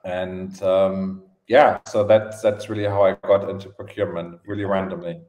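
A man with a German accent telling his story casually as a podcast guest, in halting phrases with repeated words. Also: low-pitched, little pitch movement, a little mellow, highs cut off. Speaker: podcast guest, events entrepreneur. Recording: roomy, echoing podcast audio.

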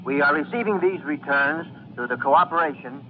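A man with an American accent and a husky voice announcing formally in 1920s radio style. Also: fairly high pitch, over a noisy crowd. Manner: formal, measured. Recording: muffled old-time radio recording.

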